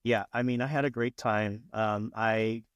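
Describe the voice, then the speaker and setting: A man's voice: lowish voice, fairly even intonation, unhurried, no echo, muffled recording. A man in his forties, a podcast guest, talking casually and hesitantly with many ums and restarts. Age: 40s. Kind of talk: casual interview chat.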